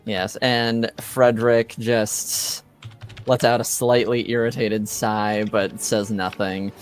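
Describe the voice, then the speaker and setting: A man narrating a tabletop game as game master, pausing often as he works out the scene. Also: lowish voice, level tone, faint music behind. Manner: hesitant, pausing delivery.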